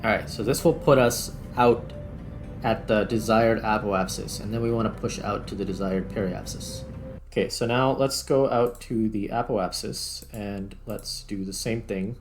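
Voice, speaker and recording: A man's voice: on the low side, fairly even intonation, moderate pace, faint music behind. A man doing casual gameplay commentary, thinking aloud and talking through each step as he plays. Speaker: gaming video creator.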